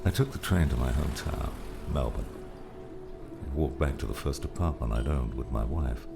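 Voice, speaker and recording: Male narrator as weathered older mentor for an adventure audiobook: rock-bottom pitch, gravel, wry musing delivery. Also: normal speed, over music. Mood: somber, reminiscing. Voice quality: gravelly, very deep.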